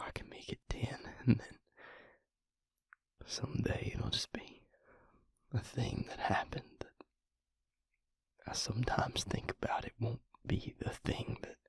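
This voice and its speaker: A man playing a grieving, guilt-ridden vampire confiding in his mate in an intimate audio roleplay, halting and hushed, with a breathy, husky voice. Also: low-pitched, dry recording, heavily acted. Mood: weary, pleading. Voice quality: breathy, husky, gravelly near-whisper.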